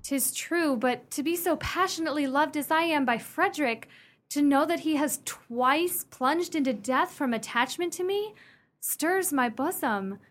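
A woman's voice: high-pitched, measured pace, a bit bright. A woman playing a haughty, melodramatic young lady torn between suitors, in a theatrical comic period radio play. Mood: swooning, lovestruck. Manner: theatrical, grandly indignant.

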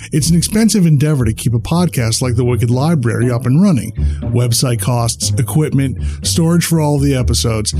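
A man's voice: medium pitch, warm tone. A man giving a scripted promotional appeal as a podcast announcer.

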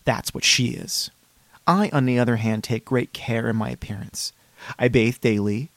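A man voicing a fussy, polite, unsettled psychiatric patient in a measured reading of a first-person horror story. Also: medium pitch, no room sound, lightly acted.

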